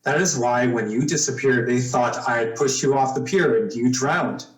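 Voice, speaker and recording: A man's voice: medium pitch, normal speed, reverberant, natural voice. An actor playing a suspicious, blunt young man making threats in an old-time radio suspense drama. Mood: accusing. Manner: blunt, tough.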